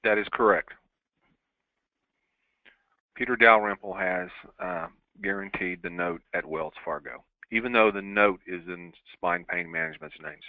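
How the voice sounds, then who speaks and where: A man's voice: deep voice, unhurried, no room sound. A male chief financial officer answering investor questions over a telephone conference call. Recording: thin dry telephone line.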